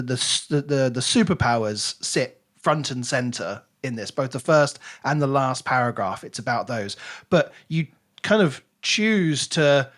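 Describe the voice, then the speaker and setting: A man's voice: medium pitch, fairly bright tone. A man hosting a podcast interview in a conversational style, with chatty, wordy and rambling questions. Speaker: podcast host.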